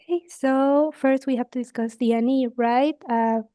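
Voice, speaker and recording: A woman's voice: high-pitched, steady tone, normal speed, dark tone, dry recording, studio quality. A young woman from Mexico with a Mexican accent, a student talking chattily with some hesitations in a speaking exam. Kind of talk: exam conversation.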